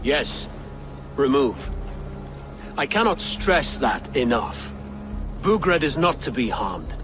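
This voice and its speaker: A man's voice: mid-range voice, sing-song, takes it slowly, over music. An actor playing an earnest, anxious would-be Saxon king in a video game cutscene, speaking in an insistent way.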